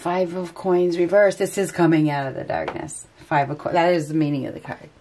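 A woman's voice: fairly low pitch, steady tone, brisk, warm tone, slight background noise. A woman with a head cold and stuffy nose, giving an unscripted tarot reading and thinking aloud as she turns the cards. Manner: rambling, hesitant, thinking aloud. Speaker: tarot reader. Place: indoors at a table.

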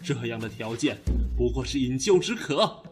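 An actor playing an outspoken, emphatic vice minister in a formal Chinese period audio drama. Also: male voice, mid-range voice, animated, normal speed, over music, natural voice. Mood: indignant, urgent. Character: earnest, outspoken court vice minister opposing appeasement.

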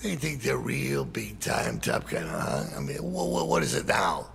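A man's voice: mid-range voice, big pitch swings, moderate pace, gravelly, a bit bright, raised voice, a little hiss or hum, full character voice. A man playing a middle-aged, hot-tempered, foul-mouthed crime boss in a video game, exasperated and profane. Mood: suspicious, annoyed. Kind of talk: cinematic game dialogue.

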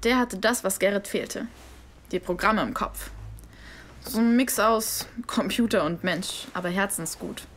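A woman's voice: mid-range voice, a little slow, fairly bright tone, a little hiss or hum, natural voice. Woman doing a young woman laying out a story, patient and casual. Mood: explaining.

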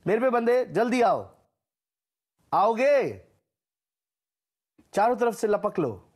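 Husky, high-pitched man barking game callouts. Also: big pitch swings, slow. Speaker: gaming creator voicing a game voice pack. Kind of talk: short scripted gaming callouts and catchphrases.

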